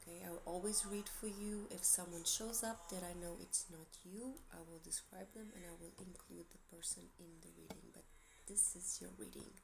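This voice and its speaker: A woman's voice: lowish voice, steady tone, moderate pace, creaky, hardly any pauses, noisy background. A woman from Portugal with a Portuguese accent, chatting casually to camera as she gives a tarot reading in a meandering way. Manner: chatty, meandering, light laughs. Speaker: tarot reader.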